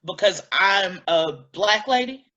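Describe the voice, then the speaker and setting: A woman's voice: medium pitch, animated, slow, a bit bright, dull audio. An actress in a staged play reading, playing a touchy, indignant, blunt-spoken woman. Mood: indignant anger. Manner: blunt, confrontational, emphatic.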